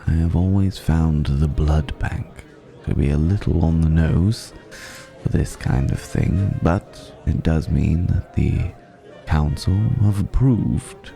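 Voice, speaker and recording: A man playing a suave, courteous vampire in an intimate ASMR roleplay, speaking in a refined, formal, gently amused way with lingering pauses. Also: extremely low pitch, gravelly, heavily acted. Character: suave, courteous male vampire dining with a mortal guest.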